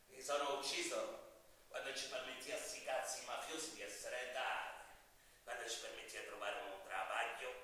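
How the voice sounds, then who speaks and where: A man acts out a defiant Sicilian convict in a stage drama, with a very sharp, forceful voice. He declaims with bitter pride and heavy emphasis. He sounds distant from the mic in a very echoey hall. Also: mid-range voice, steady tone, moderate pace, lightly acted. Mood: bitter, anguished. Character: proud, embittered man jailed for killing mafiosi, defiant. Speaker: stage actor. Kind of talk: theatrical monologue and dialogue.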